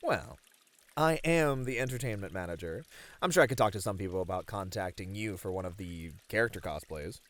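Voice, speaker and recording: A man's voice: lowish voice, animated, brisk, a bit bright, no echo. A man acting an easygoing, friendly manager unwinding after work in an ASMR roleplay, casual and lightly flirty. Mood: confident, friendly. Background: pouring, trickling water.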